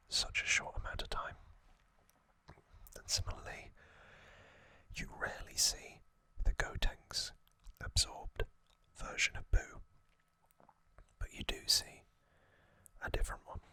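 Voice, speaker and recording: A man hosting ASMR, whispering trivia narration in a breathy, unvoiced voice with soothing, deliberate short phrases. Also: pushed and bright, no room sound. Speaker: ASMR host. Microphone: close microphone.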